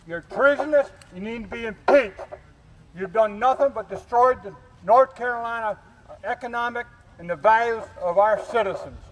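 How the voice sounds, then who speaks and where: A man's voice: very high-pitched, moderate pace, a bit bright. A man giving an angry, defiant protest speech through a bullhorn, speaking outdoors. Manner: defiant, confrontational. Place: outdoors in rain at a street protest. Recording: noisy outdoor street recording, bullhorn.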